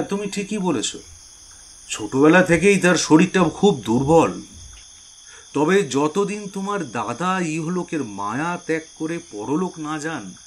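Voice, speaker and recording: A man playing a scheming, smooth-talking uncle. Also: fairly high pitch, slight background noise. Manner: slow, deliberate, drawn-out pauses. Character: scheming middle-aged uncle, smooth and calculating. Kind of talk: audio drama acting.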